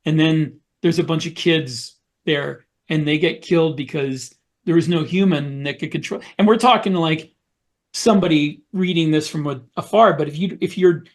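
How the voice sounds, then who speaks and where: A man co-hosting a podcast in casual panel discussion, speaking haltingly and repeating words as he searches for phrasing. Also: fairly high pitch. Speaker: podcast co-host.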